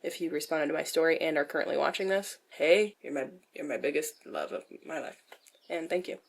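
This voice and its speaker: A woman's voice: sits low, a little fast, gravelly. A young adult woman with a nasal voice answers questions in a casual vlog style, candid and self-deprecating, with dry jokes and swearing. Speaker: vlogger.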